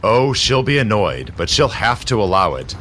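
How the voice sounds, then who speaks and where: Scheming, low-voiced, cynical conspirator. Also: male voice, big pitch swings, moderate pace, a bit bright, a little hiss or hum. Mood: cynical, superior. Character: scheming, cynical male society conspirator, world-weary and superior. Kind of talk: audio drama acting.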